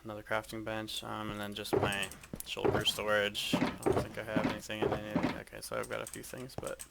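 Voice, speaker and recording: A man giving a casual, hesitant walkthrough of his game base, speaking in a flat monotone with frequent ums. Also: on the low side, brisk, gravelly, heavy background noise. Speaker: gaming video creator.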